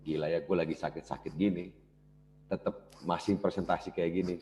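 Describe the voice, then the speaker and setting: Low-voiced man giving a business seminar. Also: fairly even intonation, brisk, dark tone, slight background noise. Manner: casual, addresses audience directly. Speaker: business speaker. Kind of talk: motivational seminar talk. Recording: dull lo-fi cassette recording.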